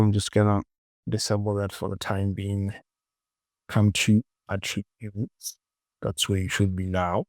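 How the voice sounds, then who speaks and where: Male tutorial presenter, unhurried and slow, dry close sound, thinking aloud in short clipped phrases. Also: on the low side, darkish tone, no room sound. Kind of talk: casual screen-recorded software tutorial, thinking aloud.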